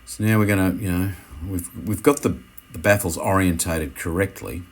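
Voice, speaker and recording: A man giving an unscripted how-to commentary as an instructor, speaking in a halting way and often correcting himself. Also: deep voice. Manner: hesitant, halting, self-correcting.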